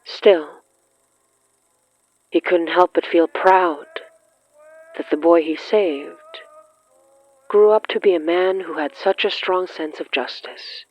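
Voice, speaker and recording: A narrator reading dramatic horror fiction in a measured, halting way with weighty pauses. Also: mid-range voice, fairly even intonation, no echo, highs cut off. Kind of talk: slow, dramatic scripted narration.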